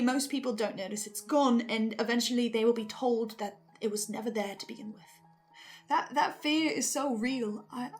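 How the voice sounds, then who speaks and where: A woman hosting earnest theory commentary, speaking deliberately with dramatic pauses and stammered repeats. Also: on the high side. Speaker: host presenting theories on a horror podcast.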